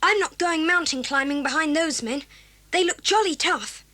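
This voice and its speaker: A woman's voice: high-pitched, normal speed, bright, forceful tone. A woman playing a plucky, practical schoolboy with an eager, earnest way of talking, in a 1980s children's audio drama.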